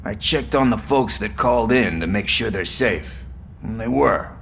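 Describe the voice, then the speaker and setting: Casting: wisecracking tired cop, lowish creaky voice, bright edge, wry game banter. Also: male voice, lively intonation, normal speed, slight background noise. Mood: weary, joking. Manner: wry, self-satisfied banter. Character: cocky, wisecracking but weary male rookie cop partner. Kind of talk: video game cutscene acting.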